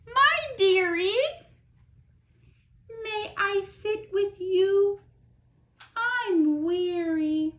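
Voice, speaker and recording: A woman's voice: extremely high pitch, extremely slow, pauses often, fairly bright tone, echoey room, voice pushed up, full character voice. A woman voicing a lovesick male turtle in a storybook poem, sweet and plaintive as he pleads with his beloved. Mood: tender.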